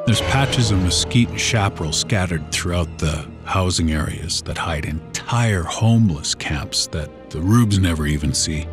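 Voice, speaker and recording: Very deep-voiced man telling a scary story. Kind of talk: first-person horror storytelling in a casual, conversational way. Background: background music.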